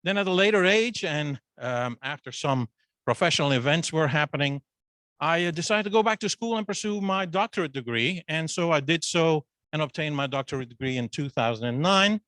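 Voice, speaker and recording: A man's voice: a little high, lively intonation, brisk, a bit bright, no room sound, rough recording. A middle-aged man from Belgium with a Flemish accent, a business school dean introducing himself at a student meeting in a loose, chatty way. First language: Dutch. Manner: loose and conversational, restarts with fillers. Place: meeting with a Zoom link. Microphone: handheld microphone.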